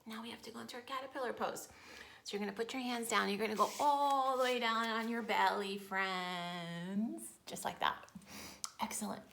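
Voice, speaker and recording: A woman teaching yoga storytime to young children, encouraging and playful, with expressive storytelling and hushed, breathy moments. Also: fairly high pitch, normal speed. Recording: roomy echo with faint noise.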